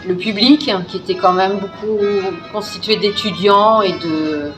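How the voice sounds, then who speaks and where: A woman's voice: mid-range voice, measured pace, over music. A woman from a cultural foundation, chatting loosely in an interview and filling gaps with little words. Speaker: foundation representative.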